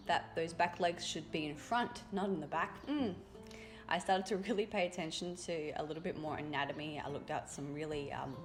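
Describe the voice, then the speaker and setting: A young woman art youtuber talking casually over her drawing, raspy from a cold and rambling with fillers. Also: fairly low pitch. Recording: voice-over with loud music behind.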